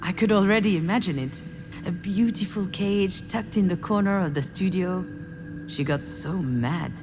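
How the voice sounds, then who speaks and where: A woman's voice: fairly low pitch, moderate pace, lightly acted. Woman acting a brooding, wry daughter over music. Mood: brooding, reflective. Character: troubled adult daughter of a famous artist, wry and brooding. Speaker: video game protagonist and narrator. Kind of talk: dramatic game dialogue, introspective monologue. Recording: music behind the voice.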